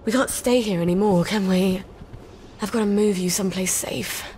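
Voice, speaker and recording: A woman's voice: mid-range voice, moderate pace, noisy background, close to an everyday voice. Video game role: woman as young English mum, anxious yet sweetly defiant, faint ambience behind. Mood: worried.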